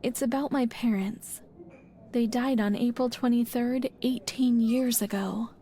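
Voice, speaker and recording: Game niece: young adult orphaned woman, wistful, subdued storytelling, lightly pitched. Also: fairly even intonation, a little slow, warm tone. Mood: sombre, helpful. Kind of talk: dramatic video game acting.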